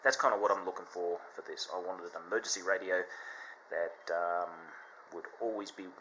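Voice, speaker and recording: Very low-voiced man explaining gear, bright tone. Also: steady tone, slight background noise, low-quality recording. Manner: halting, explanatory, unscripted. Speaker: product reviewer. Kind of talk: casual product demonstration.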